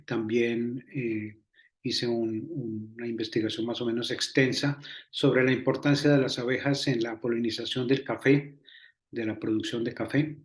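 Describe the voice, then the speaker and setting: Older man, measured, hesitant scientist guest. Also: mid-range voice, fairly even intonation, reverberant. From Colombia. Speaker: entomologist guest. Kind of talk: interview answers.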